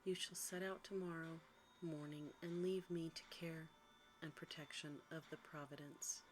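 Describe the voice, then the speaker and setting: A woman voicing a gentle young storybook heroine who is dutiful, brave and resolute. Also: low-pitched, steady tone, moderate pace, slight background noise, lightly acted, rough recording.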